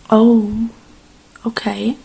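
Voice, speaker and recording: A woman doing a gentle, unhurried ASMR roleplay, speaking softly and slipping into breathy whispers close to the microphone. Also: fairly high pitch, little pitch movement, low-quality recording. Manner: gentle, unhurried, murmuring to the listener. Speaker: ASMR creator playing a robot repair technician.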